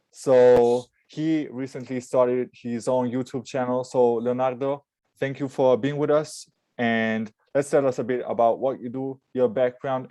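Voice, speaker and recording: Male host, mellow voice, asks brief questions. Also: medium pitch. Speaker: interview host. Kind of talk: casual interview.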